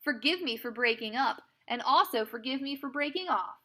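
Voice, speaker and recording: A woman's voice: high-pitched, lively intonation, normal speed, bright, forceful tone, exaggerated voice. A woman voicing a mischievous, carefree little girl in a storybook, playful and exclamatory. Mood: cheeky.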